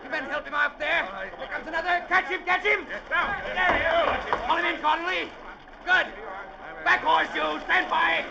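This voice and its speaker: An actor playing a gruff, domineering sea captain in his seventies, barking curt, impatient orders in 1940s radio drama style. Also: extremely high pitch, fairly even intonation, measured pace, pauses often, heavily acted. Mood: harsh, urgent. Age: 70s. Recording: old radio recording, dull, roaring storm noise.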